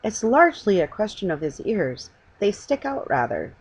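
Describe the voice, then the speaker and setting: A kindly, practical lady out shopping, a little anxious , voiced by a woman reader. Her husky storybook voice rises and falls in a lively way. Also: mid-range voice, normal speed.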